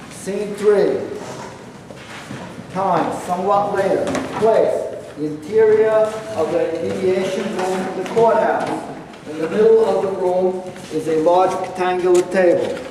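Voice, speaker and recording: A man's voice: sits high, a little mellow, slight background noise. A man reading the stage directions aloud in a plain, matter-of-fact way at a staged reading of a play. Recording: echoey hall from far off.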